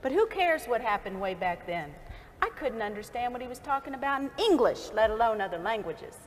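A woman's voice: fairly high pitch, sing-song, a little fast, slight background noise. A woman acting an indignant, scornful, prim churchwoman of the early 1900s in a stage play, emphatic and exasperated. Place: stage of a large theatre hall. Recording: echoey theatre hall.

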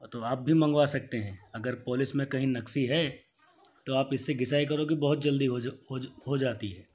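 A man's voice: medium pitch, moderate pace, darkish tone. Practical man explaining a polishing job. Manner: plain, practical explaining with small restarts. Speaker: wood polisher. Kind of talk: how-to voice-over.

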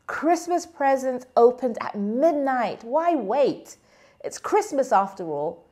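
A woman presenting a church segment, trading brisk, playful lines with her husband in a studio. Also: high voice, big pitch swings, a little mellow. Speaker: church leader. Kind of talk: scripted back-and-forth with her husband. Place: studio set.

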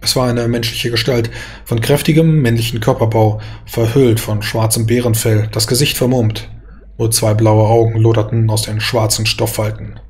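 A man's voice: mid-range voice, fairly even intonation, normal speed, a little mellow, soft background music. A man reading a scripted, dramatic fantasy story as its narrator.

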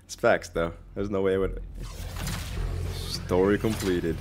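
A man's voice: low-pitched, steady tone, a little slow, music in the background. A man giving casual, slangy, swearing commentary on a video game in an incredulous manner. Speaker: game streamer. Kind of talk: casual gameplay reaction commentary.

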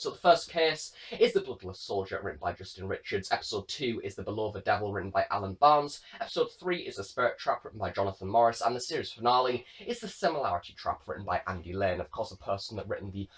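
Fast-talking young man, enthusiastic reviewer. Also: lowish voice. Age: young adult. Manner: enthusiastic, rambling, gesturing. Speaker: host, audio drama reviewer. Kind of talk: casual scripted-free review to camera. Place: small bedroom, indoors.

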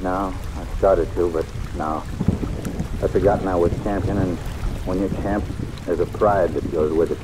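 A man's voice: low voice, steady tone, normal speed, very noisy, lightly acted. An actor playing a tough working-class man of the boxing world in an old radio drama, terse and slangy. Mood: dejected. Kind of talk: 1940s-50s radio drama acting. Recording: old radio recording under heavy rain ambience.

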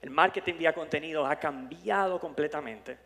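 A man's voice: fairly high pitch, normal speed, gravelly, bright, forceful tone. A middle-aged man born in New York with Dominican parents, giving a jokey, playful keynote talk on a conference stage through a headset mic. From born in New York, parents from the Dominican Republic. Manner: playful, jokey, self-promoting. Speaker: conference speaker. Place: large conference hall stage. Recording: long hall reverb.

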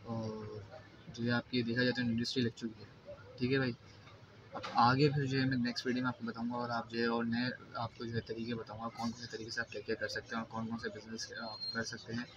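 Fast-talking young man, flat chatty delivery. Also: medium pitch. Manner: chatty, many fillers and restarts. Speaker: host. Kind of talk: casual business advice vlog. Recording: distant, dull lo-fi sound with loud noise.

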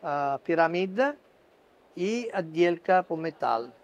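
Halting man guiding a furniture stand tour. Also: a little high, expressive. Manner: halting, ungrammatical Russian with restarts. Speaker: furniture showroom guide. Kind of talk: casual walking tour explanation. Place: busy furniture fair stand, indoors.

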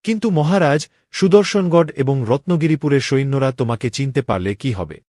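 A man's voice: medium pitch, fairly quick, darkish tone, dry recording, clean studio sound, close to an everyday voice. A man playing a king's loyal, worried young friend in a cartoon drama, with an earnest, pleading way of speaking. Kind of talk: dramatic Bengali cartoon voice acting.

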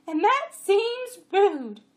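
Storybook jenny donkey: very high, bossy, braying, exasperated, swooping delivery. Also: female voice, very animated, drawn-out words, full character voice. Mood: earnest, worried. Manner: braying, commanding, complaining. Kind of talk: audiobook character voice.

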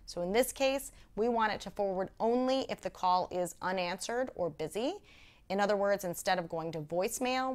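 A woman in her thirties hosting an instructional video, explaining each step clearly to camera with conversational asides. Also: lowish voice, fairly bright tone. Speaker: video host and presenter. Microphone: lapel mic.

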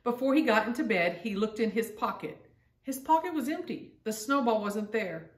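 A woman's voice: a little high, animated, moderate pace. A middle-aged woman hosting a storytime, reading a picture book aloud and chatting directly to young listeners in simple words. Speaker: storytime host reading to grandchildren. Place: living room at home, indoors. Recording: roomy echo, distant microphone.

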